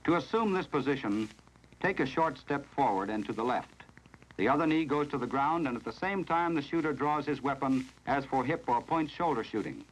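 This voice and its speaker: An American man reading scripted narration in the formal, measured, instructional manner of a 1970s training film, on a vintage film soundtrack. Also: medium pitch, animated, a little hiss or hum, rough recording.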